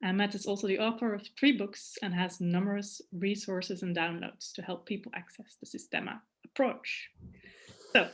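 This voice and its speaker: Female summit host, measured formal intro, video call. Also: mid-range voice, fairly even intonation, fairly bright tone. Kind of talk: scripted interview introduction.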